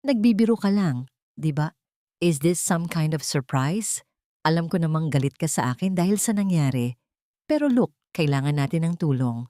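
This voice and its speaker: A woman's voice: low voice, animated, moderate pace, mellow, no room sound, studio quality. A woman voicing an arrogant, deceitful husband in a story, with a scornful, wheedling manner. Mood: wheedling, pleading.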